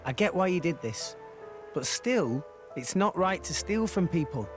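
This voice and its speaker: A plucky, fair-minded teenage boy hero from a video game. His voice is high and lively, rising and falling freely, with faint music underneath. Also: a little slow. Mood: earnest. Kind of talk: video game cutscene acting.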